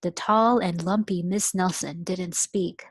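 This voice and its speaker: A woman teacher reading a picture book aloud to young children in an expressive storytelling way. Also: fairly low pitch, unhurried, breathy edge, no room sound. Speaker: pre-kindergarten teacher.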